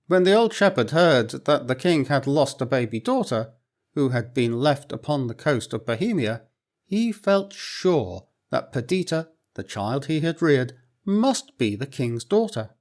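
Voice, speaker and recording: A man reading a storybook aloud in a clear, expressive, unhurried way. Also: medium pitch.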